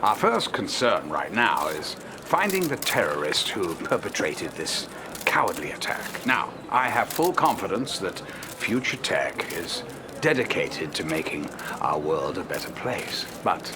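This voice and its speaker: An actor playing a smooth, evasive politician who gives polished, reassuring answers in a game cutscene. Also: male voice, low voice, very animated, a little slow, noisy background. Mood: measured, evasive. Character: smooth evasive politician, a glib European president. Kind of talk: scripted game cutscene acting, speeches and press answers.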